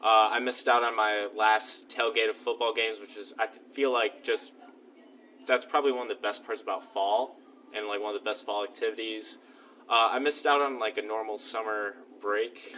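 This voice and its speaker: A man's voice: fairly low pitch, fairly even intonation, normal speed, sharp tone. A man of twenty-three, a student, chatting in interview answers with many "uh" fillers. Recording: distant mic, faint noise.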